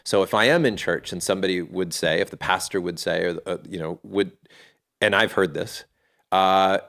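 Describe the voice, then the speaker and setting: Middle-aged male guest, very low voice, earnest, thinks aloud with restarts. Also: normal speed, creaky, fairly bright tone. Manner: earnest and reflective, with frequent restarts. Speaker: podcast guest, fatherhood community founder. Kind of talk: casual interview talk.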